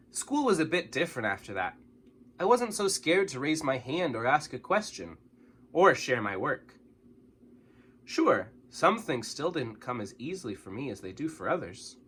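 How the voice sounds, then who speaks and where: A man reading a children's story aloud in an expressive, dramatic way with exaggerated emphasis. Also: fairly high pitch, a little hiss or hum.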